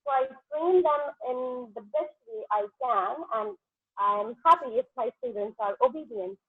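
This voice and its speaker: A woman's voice: high-pitched, expressive, normal speed, breathy edge, no room sound. A woman in her thirties with an Indian English accent, a native Punjabi speaker, answering exam questions hesitantly with many fillers. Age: 30s. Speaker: exam candidate, dentist. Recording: tinny phone-like line.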